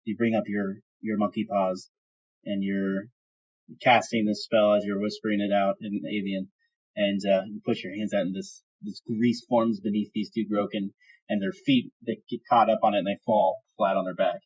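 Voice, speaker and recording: A man improvising narration as game master of a tabletop fantasy game, describing the action in halting, vivid detail. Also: fairly low pitch, fairly even intonation, a little mellow, slightly rough, lo-fi sound.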